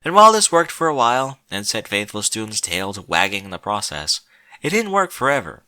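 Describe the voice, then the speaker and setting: A man reading a short story aloud in an expressive, theatrical narrator's style with wry, emphatic phrasing. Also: fairly low pitch, brisk, dry recording.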